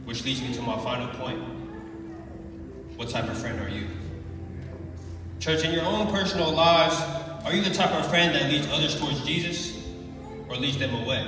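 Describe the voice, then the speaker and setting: A young man preaching a prepared sermon in an earnest, deliberate manner, speaking from a church pulpit into a handheld microphone. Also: fairly high pitch. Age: young adult. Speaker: preacher giving a short sermon. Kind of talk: sermon, prepared and read from notes. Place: church sanctuary, at a wooden pulpit. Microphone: handheld microphone through the hall sound system. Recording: very echoey church hall, far from mic.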